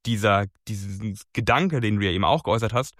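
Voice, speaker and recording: A man's voice: lowish voice, fairly quick, fairly bright tone, dry recording, studio quality. A man hosting a casual conversation, talking hesitantly and searching for his words. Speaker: host.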